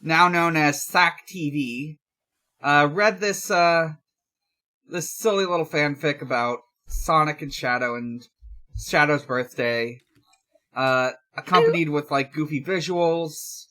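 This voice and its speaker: A podcast host speaking casually and haltingly, in chat and reading fanfiction aloud. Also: mid-range voice, sharp tone. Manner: hesitant, halting.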